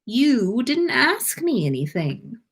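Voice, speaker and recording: A woman's voice: fairly high pitch, sing-song, slow pace, slightly rough, pitched above the performer's own voice, heavily acted. Woman reading as a prickly, aloof young man. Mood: dry, teasing. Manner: aloof, dry, teasing. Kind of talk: storybook read-aloud of fan fiction.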